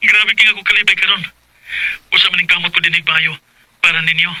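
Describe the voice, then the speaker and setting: An actor playing an excited, affectionate young husband over a telephone in a radio drama, Cebuano accent. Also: male voice, high-pitched, fairly quick, no echo, poor audio. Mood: overjoyed, loving. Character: overjoyed young husband, seafarer father-to-be.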